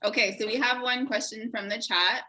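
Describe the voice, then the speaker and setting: Bright-voiced encouraging woman interviewer. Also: on the high side, steady tone. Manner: encouraging, quick affirmations. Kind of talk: interview host.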